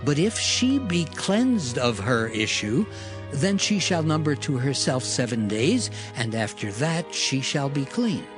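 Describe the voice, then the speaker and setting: A man reading scripture from a script as narrator, measured and formal. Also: medium pitch, very animated. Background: soft music.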